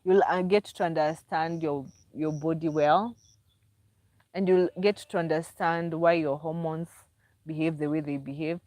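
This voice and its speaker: Slow-talking Kenyan woman hosting a livestream. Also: low voice, steady tone, a little mellow, dry recording. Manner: chatty, repeats herself, greets viewers by name. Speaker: livestream host and author. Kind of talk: casual livestream chat.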